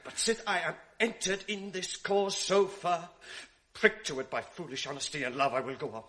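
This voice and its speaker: A sly scheming villain. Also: male voice, on the high side, normal speed, bright, forceful tone. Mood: whispering. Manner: insinuating, often dropping to a whisper. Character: scheming male ensign, sly and manipulative. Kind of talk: classic radio drama acting.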